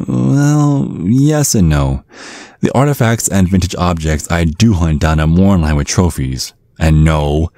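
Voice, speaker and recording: A man plays a centuries-old vampire father with an extremely low voice, recorded close and dry. He is courtly and measured, with polite menace under every word, in an ASMR audio roleplay. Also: a little mellow, no room sound. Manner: measured, politely threatening, formal.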